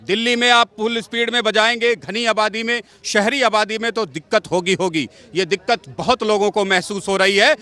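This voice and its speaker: A man's voice: sits high, fairly even intonation, normal speed, hardly any pauses, pushed and bright. A man speaking in an impassioned, argumentative monologue, emphatic and given to repeating points and listing examples.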